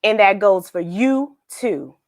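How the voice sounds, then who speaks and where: A woman reading the part of a big, tough, annoyed male dog in a gruff, scolding way for a storybook. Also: mid-range voice, lively intonation, takes it slowly, dry recording, clean studio sound. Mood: gruff annoyance.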